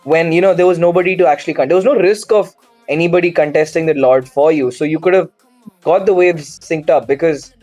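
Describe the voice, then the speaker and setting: A man casting a live esports match, asking pointed questions about the plays, his voice worn from shouting. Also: on the high side, fairly quick, no echo, faint music behind. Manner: questioning, incredulous analysis. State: strained voice after shouting. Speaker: esports caster.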